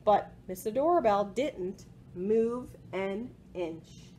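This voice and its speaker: A woman in her forties reading a picture book aloud to children in an expressive way, with asides about the pictures. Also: mid-range voice, slow. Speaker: library storytime presenter. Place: small indoor room with bookshelves. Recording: home recording with faint background noise.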